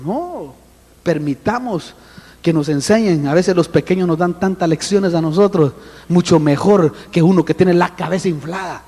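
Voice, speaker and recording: Gravelly high-voiced preacher in a hall. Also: male voice, moderate pace. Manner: animated, addresses listeners as brother, acts out voices. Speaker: apostle preaching. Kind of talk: sermon.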